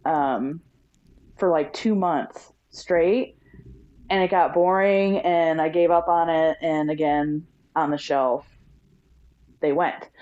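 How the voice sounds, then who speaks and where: A woman's voice: low voice, mellow. A woman from the US Midwest, a guest on a podcast, chatting casually and laughing often. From Illinois, US Midwest. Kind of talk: casual interview. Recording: dull lo-fi audio.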